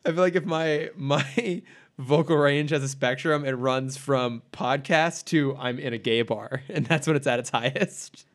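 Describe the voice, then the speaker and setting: A man's voice: medium pitch, measured pace. A young man co-hosting a podcast in casual banter, teasing and laughing often. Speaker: podcast co-host.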